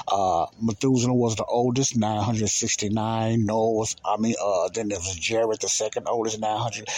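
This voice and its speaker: Husky, low-voiced man preaching informally. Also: a little mellow. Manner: emphatic, repetitive, asks and answers own questions. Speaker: preacher and Bible teacher. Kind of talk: informal Bible teaching sermon.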